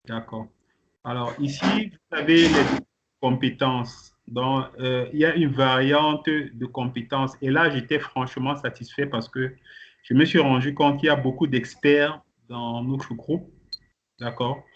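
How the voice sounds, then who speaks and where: A man's voice: mid-range voice, fairly even intonation, a little slow. A man leading an online training kickoff meeting, presenting to participants with many hesitations and restarts. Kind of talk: presenting to participants on a video call. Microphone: video call. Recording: muffled call audio with faint noise.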